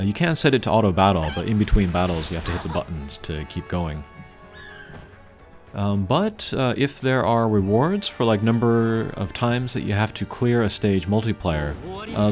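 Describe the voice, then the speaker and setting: Low-voiced man, casual game commentary. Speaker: game video creator. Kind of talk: casual unscripted gameplay commentary. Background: video game music.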